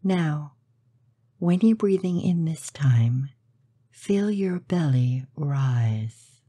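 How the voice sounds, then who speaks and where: A woman leads a guided meditation in a low, very mellow and dark voice. Her soft, breathy delivery is slow and soothing, with measured pauses between phrases. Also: animated, dry recording.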